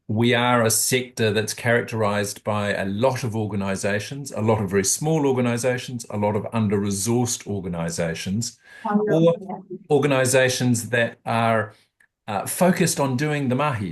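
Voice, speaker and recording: Earnest man briefing on a video call. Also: fairly low pitch. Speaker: charity sector commentator. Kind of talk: webinar briefing.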